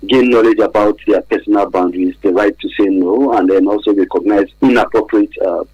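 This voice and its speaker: A man with a Nigerian accent speaks in a low, husky voice. He explains things haltingly, as an expert guest would in a radio interview. The sound is close and dry. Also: steady tone, darkish tone, no room sound, muffled recording. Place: radio studio.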